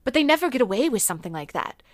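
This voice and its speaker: A woman playing a sultry, sharp-tongued nightclub singer and informant in a noir comedy audio drama, flirtatious and sardonic. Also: on the high side, sing-song, rapid-fire, dry recording, studio quality. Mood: knowing, amused. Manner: flirtatious, sardonic, wordplay-heavy banter.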